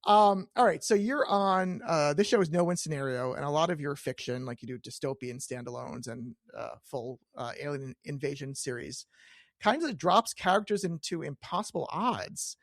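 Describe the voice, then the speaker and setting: A man's voice: a little high, normal speed, no room sound, studio quality. A man hosting a chatty interview podcast, joking and self-deprecating as he trips over his words and corrects himself. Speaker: podcast host.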